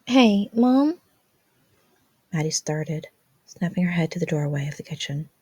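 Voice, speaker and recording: A woman's voice: low-pitched, little pitch movement, brisk, darkish tone, breathy edge, dry recording. A woman reading fan fiction aloud as scripted, dramatic story narration.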